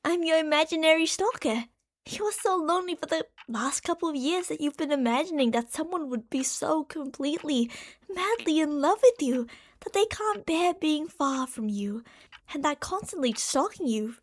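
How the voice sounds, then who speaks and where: A woman acts out an obsessive female stalker who is madly in love. Her voice is high, and she speaks straight to the listener in an ASMR roleplay. She coaxes and explains fondly, leaning on words theatrically. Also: animated, moderate pace. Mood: teasing, assured. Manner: coaxing, explanatory, fond pet names, theatrical emphasis. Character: lovestruck obsessive female stalker from a parallel universe.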